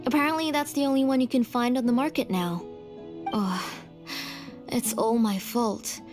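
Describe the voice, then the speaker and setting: A woman's voice: on the high side, normal speed, a little mellow, natural voice. A woman voicing an anxious, devoted mother in a video game, earnest, humble and protective. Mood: apologetic, worried. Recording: prominent background music under the dialogue.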